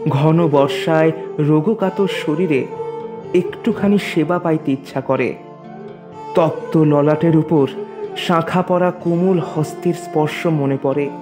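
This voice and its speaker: A man narrating a literary story in a measured, expressive, formal reading. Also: fairly high pitch.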